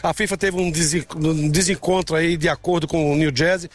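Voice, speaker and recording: A man's voice: fairly high pitch, fairly even intonation, brisk. A man from Brazil interviewed in the street outdoors, talkative, often repeating words as he starts. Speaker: interviewed fan. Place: outdoors on a busy city square.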